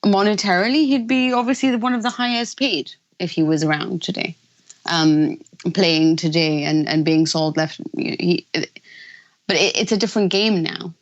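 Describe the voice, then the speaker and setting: A woman's voice: on the low side, steady tone, normal speed, muffled recording. Chatty woman football pundit on radio. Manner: enthusiastic, chatty, laughs easily. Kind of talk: casual radio chat.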